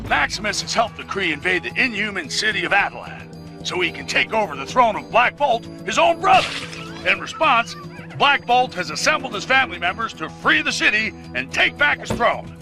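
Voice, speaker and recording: A blustering, irritable newspaper boss, very high in pitch and swinging widely as he delivers theatrical mock news for a video game. Music plays behind him. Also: very animated, moderate pace. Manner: bombastic, theatrical. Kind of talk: animated game cutscene, mock news broadcast.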